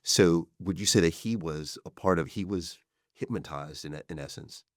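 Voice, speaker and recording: A man hosting an interview, asking gentle questions and offering brief affirmations. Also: sits low, moderate pace, no echo, clean studio sound. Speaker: interview host. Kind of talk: conversational interview.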